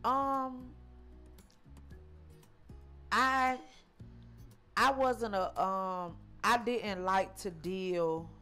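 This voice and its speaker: A woman, from Georgia, telling her life story in an interview, halting and repeating herself with frequent ums. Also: mid-range voice, bright, forceful tone, soft background music. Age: 40s. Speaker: interview guest.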